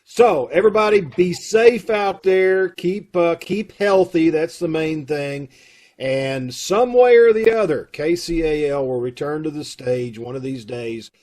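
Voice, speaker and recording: A man's voice: on the high side, unhurried, a little mellow. A man with a Kentucky accent, leading a theater troupe and making casual, rambling announcements over a video call. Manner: folksy, rambling, with fillers.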